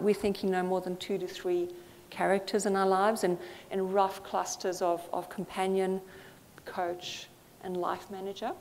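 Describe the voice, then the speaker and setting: A woman from Zambia giving an earnest, thoughtful conference talk, with frequent fillers. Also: mid-range voice. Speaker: conference speaker. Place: conference hall. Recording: reverberant hall with faint noise.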